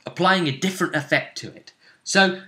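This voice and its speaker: A man with a bright, forward voice acts as game master at a tabletop session. He narrates the story casually, pausing to find words and repeating phrases for emphasis. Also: high-pitched.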